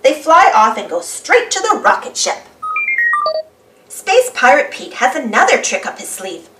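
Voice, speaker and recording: A woman narrating a children's storybook in an animated read-aloud style. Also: sits high, unhurried, far from the mic, heavy background noise. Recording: roomy, voice away from microphone.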